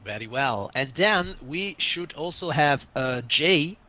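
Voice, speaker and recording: A man's voice: a little high, lively intonation, slow pace, sharp tone. A man from Italy, running a tabletop game as game master, talking with frequent hesitations. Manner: hesitant, many filler sounds. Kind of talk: hosting a tabletop game stream.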